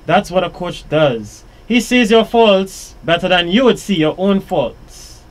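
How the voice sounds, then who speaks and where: A man's voice: sits high, expressive, a little slow. Trinidadian man hosting live radio. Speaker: radio show host. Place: small radio studio. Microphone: studio boom mic with headphones.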